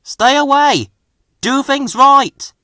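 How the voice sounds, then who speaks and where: A man's voice: extremely high pitch, animated, slow, pushed and bright, dry recording, clean studio sound, pitched above the performer's own voice, full character voice. A man voicing a proud, kindly but fussy little traction engine in a children's storybook, giving earnest, bossy commands. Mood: urgent warning.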